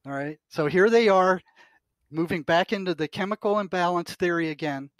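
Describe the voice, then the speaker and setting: Older man, high-pitched and bluntly indignant. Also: normal speed, no room sound. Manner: indignant, blunt, emphatic, mild swearing. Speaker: retired psychotherapist, podcast guest. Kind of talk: opinionated discussion, quoting articles aloud.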